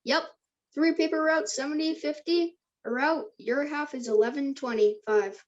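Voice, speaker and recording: A woman's voice: sits high, fairly even intonation, moderate pace, dull audio, lightly acted. A woman playing a confident, enterprising teenage boy in a screenplay table read. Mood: businesslike, easygoing.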